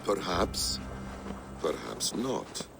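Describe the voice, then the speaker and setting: A low, gravelly, cunning warrior mentor. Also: male voice, sing-song, music in the background, full character voice. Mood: calm, probing. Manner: measured, cryptic storyteller. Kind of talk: dramatic video game acting.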